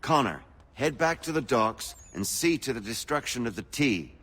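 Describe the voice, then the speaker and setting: An actor playing a genial, persuasive colonial gentleman revolutionary in a video game, speaking in an eloquent, oratorical way. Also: mid-range voice, big pitch swings, moderate pace, soft background music. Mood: rousing, polished. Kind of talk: video game cutscene acting.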